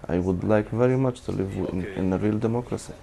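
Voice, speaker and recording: A man answering interview questions earnestly, speaking in a halting way with small restarts. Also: fairly low pitch, creaky, a crowd in the background. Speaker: screenwriter interviewed.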